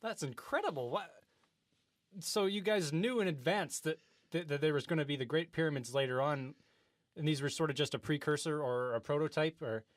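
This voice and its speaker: A man's voice: a little high, brisk, no echo, clean studio sound. A man hosting an improvised comedy talk-show interview, speaking in a hesitant, earnest, wide-eyed way.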